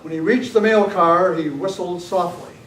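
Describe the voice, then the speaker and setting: High-voiced male old-time radio announcer. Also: moderate pace. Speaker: narrator and announcer. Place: club meeting room, live performance. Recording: echoey noisy meeting room, distant mic.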